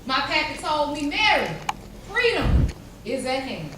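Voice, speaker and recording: A woman performing as a formerly enslaved woman who recounts her life in dramatic, deliberate stage storytelling. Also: high-pitched, very animated. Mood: solemn recounting. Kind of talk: dramatic readers theater storytelling. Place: large echoey chapel during a live performance. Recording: cavernous echo, distant mic, noisy.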